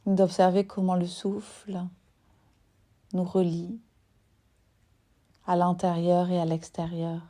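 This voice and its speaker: Slow, mellow-voiced woman guiding meditation. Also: lowish voice, completely flat delivery. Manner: soothing, unhurried, with long pauses between short phrases. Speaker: yoga nidra teacher guiding a relaxation. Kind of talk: guided meditation.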